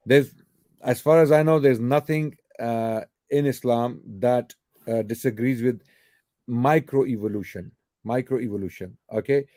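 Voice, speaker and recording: A man's voice: mid-range voice, measured pace, dark tone, no room sound. A man hosting a live call-in question and answer show, who answers emphatically and repeats his key points. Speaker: host answering callers' questions.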